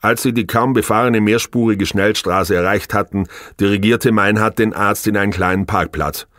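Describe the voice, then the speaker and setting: Low-voiced male audiobook narrator, dry sound. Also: steady tone, moderate pace, fairly bright tone, dry recording. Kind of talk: scripted novel narration.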